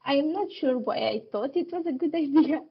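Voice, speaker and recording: A woman in her thirties from Romania, speaking English as a podcast guest, laughing often and pausing with fillers. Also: high-pitched, expressive, lo-fi sound. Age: 30s. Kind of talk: casual interview chat.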